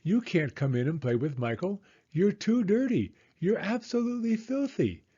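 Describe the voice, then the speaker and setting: An indignant storybook mother, acted by a man, scolding in drawn-out exclamations. The voice is pushed well up in pitch and swoops expressively. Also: sing-song, dark tone, husky, highs cut off, exaggerated voice.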